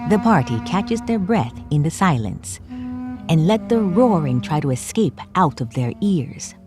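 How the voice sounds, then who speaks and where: A woman tells a fantasy tabletop adventure as its game master, dramatic and scripted. Her pitch is lively and her pace slow and deliberate, with clear music playing behind her.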